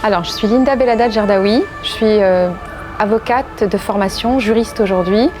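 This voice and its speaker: A woman from France giving measured, reflective and earnest interview answers as a legal professional. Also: mid-range voice, over music. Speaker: interviewee, corporate legal and compliance executive.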